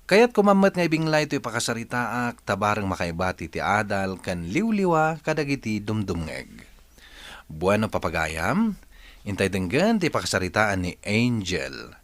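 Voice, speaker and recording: A man's voice: lowish voice, a bit bright, no room sound. A man hosting a radio drama, delivering a scripted dramatic introduction. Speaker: radio drama host and narrator.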